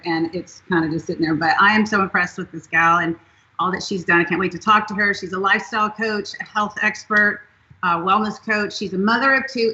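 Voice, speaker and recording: A woman's voice: mid-range voice, bright, forceful tone. Bubbly woman hosting from Texas. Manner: bubbly, gushing, laughs often. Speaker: host. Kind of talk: casual video-call talk show. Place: living room, indoors. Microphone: webcam on video call. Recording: dull lo-fi video call audio.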